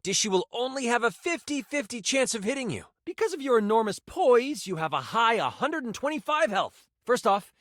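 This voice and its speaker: A nerdy male game master die from a video game, super animated, high voice, dramatic. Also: normal speed, few pauses, no room sound, clean studio sound, heavily acted. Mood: explanatory. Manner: theatrical, swinging from exasperated outbursts to hushed storytelling. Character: excitable living twenty-sided die, theatrical nerdy male game master. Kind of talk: tabletop game narration and banter.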